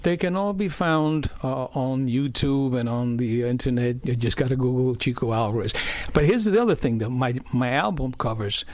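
Older male guest, hoarse, rambling conversational delivery, dry recording. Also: medium pitch, moderate pace, hardly any pauses, darkish tone, dry recording. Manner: rambling, repeats words, restarts. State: hoarse from a cold. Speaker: musician and art director guest. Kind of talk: conversational interview. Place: in person in New York.

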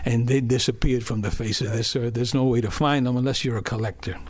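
Older male guest, hoarse, rambling conversational delivery, dry recording. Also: mid-range voice, fairly even intonation, fast, dry recording. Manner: rambling, repeats words, restarts. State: hoarse from a cold. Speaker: musician and art director guest. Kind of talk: conversational interview. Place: in person in New York.

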